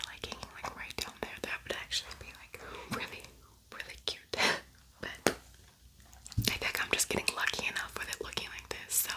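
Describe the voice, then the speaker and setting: A woman whispering in a breathy, unvoiced ASMR style as a tutorial host, softly repeating words.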